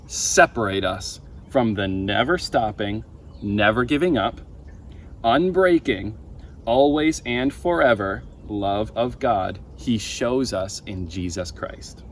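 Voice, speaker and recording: A man's voice: medium pitch, expressive, slow pace, fairly bright tone. A young man voicing an earnest, emphatic male preacher from a children's storybook. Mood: fervent, emphatic. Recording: outdoor ambient noise.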